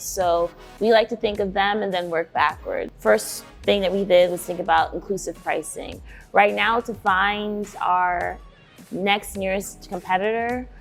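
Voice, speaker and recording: A woman's voice: fairly low pitch, vocal fry. A young woman from Nigeria, a shoe brand co-founder, answering interview questions in an earnest, emphatic way.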